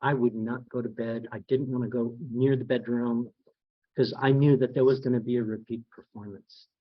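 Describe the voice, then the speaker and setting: A soft-spoken man giving a keynote, telling personal stories in a folksy, halting manner. His voice is dry and close, with a dull, slightly lo-fi sound. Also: mid-range voice, little pitch movement, no room sound. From San Antonio, Texas. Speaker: guest speaker, federal statistics agency director. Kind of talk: storytelling keynote.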